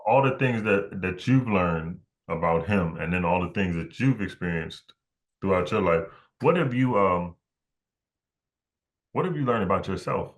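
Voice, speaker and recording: A man's voice: sits low, expressive, unhurried. An American man hosting a casual interview, laid-back, offering brief affirming replies and questions. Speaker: podcast host, interviewer.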